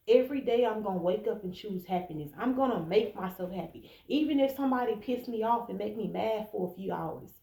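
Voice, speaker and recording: A woman's voice: mid-range voice, darkish tone. An American woman of about thirty-one giving blunt, casual, emphatic relationship advice straight to the listener in an informal monologue. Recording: echoey room, faint background noise.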